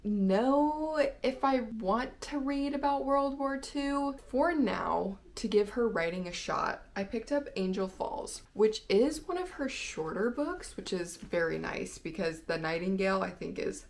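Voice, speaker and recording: A woman's voice: a little high, lively intonation, unhurried, few pauses. A young woman talking casually and enthusiastically to viewers, in the informal style of a book vlog. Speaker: book vlogger.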